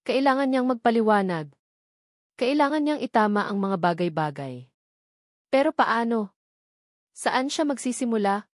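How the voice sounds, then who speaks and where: A woman's voice: on the high side, lively intonation, moderate pace, pauses often, no room sound, clean studio sound. A woman with a Filipino accent reading a scripted romance story as a dramatic narrator.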